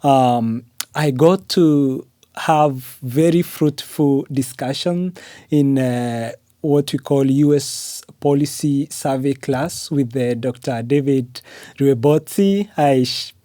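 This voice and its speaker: A man's voice: mid-range voice, takes it slowly, mellow, no echo, clean studio sound. A man from Kenya with a Kenyan accent, whose first language is Swahili, speaking earnestly as a podcast guest with frequent fillers like "uh" and "you know". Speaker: podcast guest, graduate student. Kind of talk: interview conversation.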